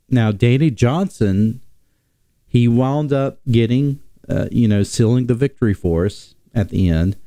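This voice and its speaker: A man hosting a casual sports talk stream, sick and nasal, rambling with many pauses and restarts. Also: mid-range voice, dark tone, no echo, studio quality. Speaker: podcast host.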